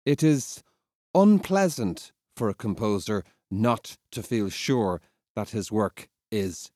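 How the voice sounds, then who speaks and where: Mellow, exasperated composer, swinging and dramatic in a performed reading. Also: medium pitch, dry recording, clean studio sound. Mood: aggrieved. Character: angry, exasperated composer reading his letters aloud. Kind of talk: dramatic reading of historical letters.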